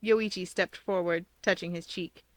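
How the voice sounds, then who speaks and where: A woman's voice: on the low side, fairly even intonation, normal speed, no room sound. A woman reading fan fiction aloud as a scripted story narration.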